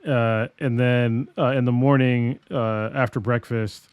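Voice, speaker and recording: A man's voice: mid-range voice, steady tone, measured pace, smooth, dry recording. An American man co-hosting a podcast, telling stories in a rambling way with lots of fillers and laughs. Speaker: podcast co-host. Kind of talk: casual chat.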